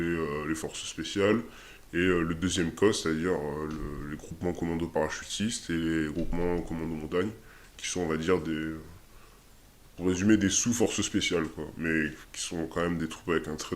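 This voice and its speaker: Homme à la voix très grave, hésitant. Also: male voice, deep voice, fairly even intonation, normal speed. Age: 20s. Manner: hesitant, many fillers and restarts. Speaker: interviewee, army soldier. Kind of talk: casual interview answers.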